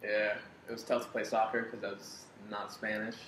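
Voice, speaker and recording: A man's voice: on the low side, flat delivery, normal speed, fairly bright tone. An American man in his twenties from Florida, chatting casually as an interview guest. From Florida, United States. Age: 20s. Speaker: baseball player and coach, interview guest. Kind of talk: casual chat. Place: living room couch, indoors. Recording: echoey room with faint background noise.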